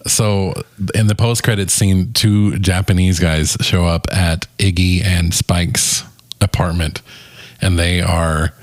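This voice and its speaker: Deep voiced guy chatting on a movie podcast, wry, super dry sound. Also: steady tone, slow, no echo. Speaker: podcast co-host. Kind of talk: casual film review chat.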